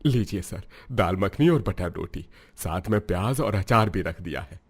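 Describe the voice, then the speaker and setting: An actor voicing a poor, humble roadside cook and worried father in a cartoon story, polite and soft-spoken. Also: deep voice, animated, moderate pace, breathy edge, exaggerated voice. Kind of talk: cartoon voice acting.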